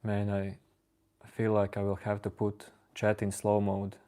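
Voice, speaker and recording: A man whose first language is Latvian, calmly answering viewers' chat questions on a live stream. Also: on the low side, clear, resonant tone. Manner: calm, unhurried replies to chat. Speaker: livestream host answering chat.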